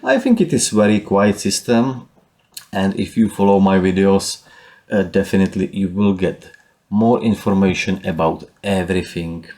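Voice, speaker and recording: A man with a Czech accent talks through his own solar data, pausing and restarting with plenty of fillers. His voice sits low and sounds soft and mellow. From Czech Republic. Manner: halting, with frequent fillers and restarts. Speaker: solar power hobbyist. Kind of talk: casual screen walkthrough.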